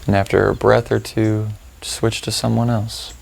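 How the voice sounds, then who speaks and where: A man leading a guided meditation, giving gentle, informal instructions with unhurried pauses. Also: fairly low pitch, little pitch movement, vocal fry.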